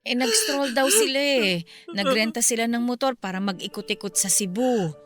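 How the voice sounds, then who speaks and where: A woman's voice: fairly high pitch, animated, normal speed, soft background music, close to an everyday voice. A woman playing an earnest, pleading middle-aged mother and friend, protective of her son, in a Filipino radio drama. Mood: subdued explaining.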